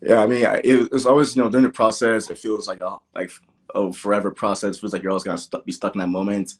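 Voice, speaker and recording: Very deep-voiced young man in conversation. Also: level tone, quick pace. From born in Nigeria, grew up in the American Midwest. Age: young adult. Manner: conversational, peppered with "like" and "you know". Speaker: podcast guest. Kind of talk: casual interview, personal storytelling.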